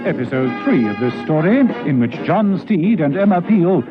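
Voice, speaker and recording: Male narrator, scripted spy drama, animated delivery, muffled vintage radio sound, music behind. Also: on the high side, normal speed. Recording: dull old radio recording with music.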